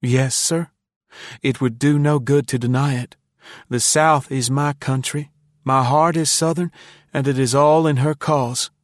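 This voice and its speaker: Man voicing a high-pitched, earnest teenage boy. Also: fairly even intonation, a little slow, no room sound, studio quality, natural voice. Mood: humble, resigned. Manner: polite, earnest, pleading. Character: frightened, pleading teenage boy accused of spying. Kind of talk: audiobook character dialogue.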